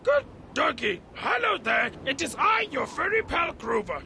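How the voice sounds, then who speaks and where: A man voicing an eager, chatty furry male monster who speaks formally and exclaims loudly. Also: very high-pitched, very animated, a little slow, creaky, a little hiss or hum, full character voice. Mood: excited.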